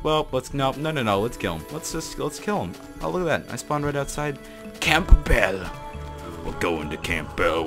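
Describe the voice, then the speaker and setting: A young man giving casual, rambling commentary over his own gameplay, joking at himself and breaking into laughing shouts. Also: mid-range voice. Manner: rambling, self-mocking, bursts into laughing shouts. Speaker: gaming YouTuber.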